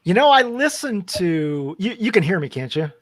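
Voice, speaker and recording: A man's voice: high voice, sing-song, moderate pace, no echo. A man chatting casually on a panel show, apologetic and restarting his sentences.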